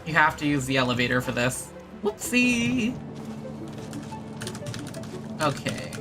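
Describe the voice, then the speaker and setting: A woman gaming streamer commenting casually as she plays, often talking to herself. Her delivery is slowish with big swings in pitch, and game music plays clearly behind her. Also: big pitch swings. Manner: talks to self and to the game.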